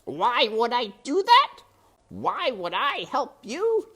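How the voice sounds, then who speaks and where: A woman's voice: on the high side, huge pitch swings, fairly bright tone, breathy edge, pitched above the performer's own voice, exaggerated voice. A woman voicing a sly, scheming male rat villain who mocks in a silky, theatrical way. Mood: sly mocking. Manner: silky, mocking, drawn-out and theatrical.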